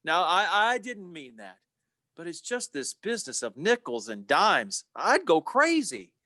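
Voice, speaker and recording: An ambitious, restless young man, acted by a man in a dry-sounding radio drama. His high voice swings with animation, eager and earnest. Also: huge pitch swings, measured pace, bright, forceful tone, no room sound, exaggerated voice. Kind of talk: old-time radio play acting.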